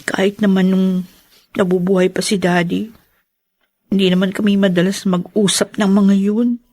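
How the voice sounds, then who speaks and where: An actress playing a sorrowful, polite teenage orphaned daughter in a Filipino radio drama. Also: female voice, medium pitch, level tone, normal speed, warm tone, dry recording, lightly acted. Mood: polite, uneasy.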